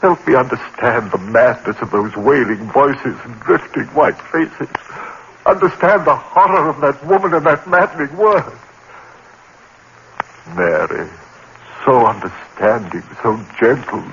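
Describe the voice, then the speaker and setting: A man's voice: lively intonation, unhurried, fairly bright tone. Frantic man in old radio horror. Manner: frantic, haunted first-person telling, sudden climbs in pitch. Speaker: narrator and lead in a radio drama. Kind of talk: 1930s radio horror acting. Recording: dull old radio broadcast with faint hiss.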